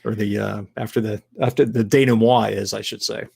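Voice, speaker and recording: A man's voice: fairly low pitch, steady tone, brisk, vocal fry, darkish tone, husky. Rambling, profane man on a podcast. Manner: rambling, profane, laughs often. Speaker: podcast co-host, novelist. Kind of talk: casual chat.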